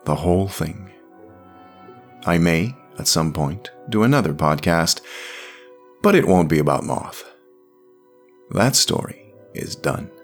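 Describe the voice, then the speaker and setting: A man's voice: sits low, expressive, slow pace, long pauses, soft background music. A man reading scripted narration and announcements as the writer and narrator of a podcast.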